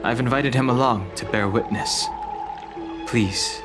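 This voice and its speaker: An actor playing an aloof, formal young dragon-horned man in a video game, speaking with measured restraint. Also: medium pitch, over music, close to an everyday voice. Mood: restrained, weary. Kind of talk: video game dialogue acting.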